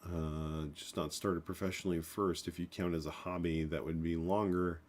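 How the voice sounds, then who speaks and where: A man in his forties talks through his code on a live stream, his voice sitting very low and sounding stuffed up and congested. He rambles, circling back with fillers and restarts. Keys clatter now and then behind him. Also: level tone, moderate pace, a little mellow. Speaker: programming livestream host. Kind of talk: casual live coding talk.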